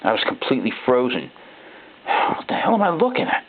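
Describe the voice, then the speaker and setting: A man voicing a frightened ordinary guy telling his own horror story, halting and rattled, with a gravelly voice. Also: medium pitch, wildly swooping pitch. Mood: panicked. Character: frightened ordinary man recounting robot replacements. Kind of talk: first-person dramatic horror narration. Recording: thin, phone-like narrow-band sound.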